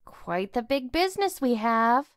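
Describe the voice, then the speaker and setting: A woman voicing an aloof, sardonic squad member in a visual novel, deadpan and unimpressed. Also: a little high, a little slow, clear, resonant tone, no room sound, clean studio sound.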